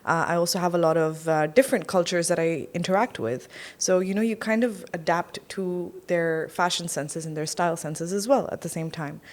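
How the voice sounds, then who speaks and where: Lower-voiced Pakistani-born woman guest. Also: normal speed, few pauses. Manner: chatty, many ums, mixes English and Urdu. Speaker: guest, entrepreneur. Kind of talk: casual interview chat.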